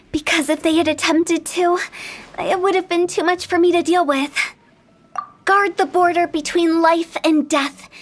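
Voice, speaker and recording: An actress voicing a playful, mischievous young female funeral parlor director in a video game, teasing and lilting. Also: very high-pitched.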